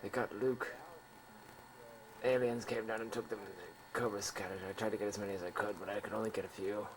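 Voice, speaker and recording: A man's voice: lowish voice, steady tone, a little fast, lightly acted, poor audio. A man playing a grief-stricken soldier, a massacre survivor, in an amateur audio drama with anguished, dramatic delivery. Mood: defeated grief. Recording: homemade 1990s recording, faint background noise.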